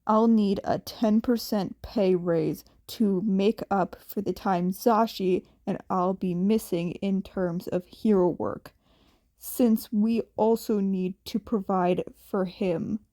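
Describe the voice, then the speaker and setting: A woman voicing a gruff, tired, blunt but protective man with a grudging deadpan delivery in a fan fiction audiobook. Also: medium pitch, slow, no room sound, studio quality, voice pushed down, lightly acted. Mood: blunt, firm.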